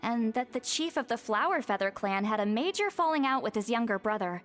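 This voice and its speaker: A woman voicing a composed, scholarly character in a video game, measured, thoughtful and gently firm. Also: fairly high pitch, expressive, faint music behind, natural voice. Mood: pondering.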